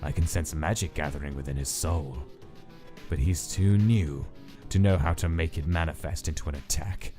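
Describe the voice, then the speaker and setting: A man voicing a detached male scientist who narrates his observations in a clinical, measured way. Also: a bass voice, warm tone, faint music behind. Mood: watchful. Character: cold calculating male scientist studying his subjects. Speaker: narrator of a comic dub. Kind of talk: inner-monologue narration.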